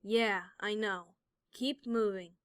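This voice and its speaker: A woman's voice: medium pitch, slow, smooth, lightly acted. A woman voicing a bold female pegasus pony adventurer in an audio drama, terse and commanding under danger. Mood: tense, controlled. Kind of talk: audio drama character acting.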